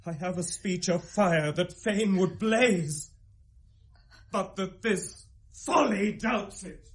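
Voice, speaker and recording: A man's voice: high voice, takes it slowly, fairly bright tone, breathy edge, heavily acted. An actor with a British accent playing a hot-headed, vengeful young nobleman in a Shakespearean radio drama, in an intense, declamatory manner. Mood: stricken grief.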